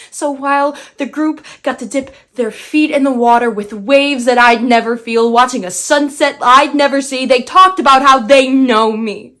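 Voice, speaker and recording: A woman's voice: high-pitched, unhurried, a bit bright. A young woman performing a bitter, brash dead girl in a theatrical monologue, sardonic and emphatic. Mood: regretful, anguished. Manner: sardonic, emphatic, swinging into outbursts.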